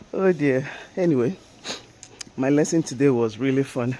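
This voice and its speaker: A man's voice: mid-range voice, lively intonation, measured pace, dark tone. A man with a Nigerian accent, an English teacher chatting casually while walking and laughing now and then. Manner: chatty, laughing, drops in Japanese fillers. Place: outdoors by a road. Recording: outdoor traffic noise.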